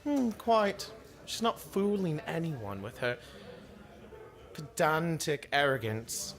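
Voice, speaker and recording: Man playing a highish, snide Gothic crony. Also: expressive, slow pace, fairly bright tone, faint music behind, heavily acted. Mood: snide contempt. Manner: pompous, snide. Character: snide male medical student, haughty crony. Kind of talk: Gothic audio drama acting.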